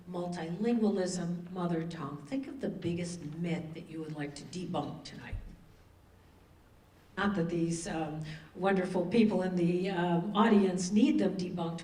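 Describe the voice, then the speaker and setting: A woman with a somewhat low voice moderates a public panel in wordy, academic phrasing. She is heard in a reverberant room, a little away from the microphone, with faint noise. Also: a little mellow. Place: indoor event room with an audience. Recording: echoey room, distant mic, faint noise.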